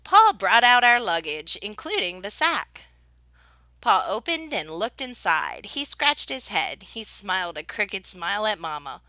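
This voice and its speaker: A woman reading a children's picture book aloud in an expressive, dramatic storytelling style. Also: medium pitch, a little slow, no echo. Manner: dramatic, with whispered suspense and excited exclamations.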